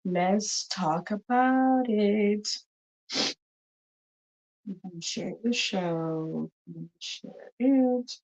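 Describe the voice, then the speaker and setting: A woman's voice: medium pitch, extremely slow, long pauses, darkish tone, highs cut off. A woman hosting a live talk show, chatting casually and repeating herself as she greets viewers, with a cold. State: has a cold, coughing. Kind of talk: casual live-stream chat.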